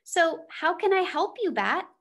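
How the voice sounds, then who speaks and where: A woman's voice: extremely high pitch, expressive, measured pace, fairly bright tone, highs cut off, pitched above the performer's own voice, heavily acted. A woman voicing a kind, patient male schoolteacher in a children's storybook, easygoing and encouraging. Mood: easygoing, helpful.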